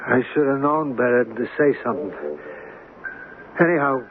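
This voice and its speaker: An actor in a 1970s radio drama playing a wary, plaintive small-time hustler who talks in streetwise slang. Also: male voice, medium pitch, moderate pace, close to an everyday voice. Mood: wry. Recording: tinny, noisy 1970s radio broadcast.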